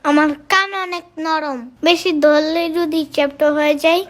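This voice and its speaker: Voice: high-pitched, steady tone, normal speed, very noisy, close to an everyday voice. A performer voicing a cheeky little cartoon kindergarten boy who talks back, in a childlike way with a Bangladeshi accent. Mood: cheeky, whining. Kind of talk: comic cartoon dialogue. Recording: loud music behind the voice.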